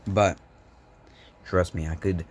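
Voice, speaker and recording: Young male vlogger, smoke-roughened voice, lowish, loose rambling jokes, phone mic with faint hiss. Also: fairly even intonation, slow. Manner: meandering, joking, laid-back. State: hoarse from smoking. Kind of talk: rambling casual vlog chat.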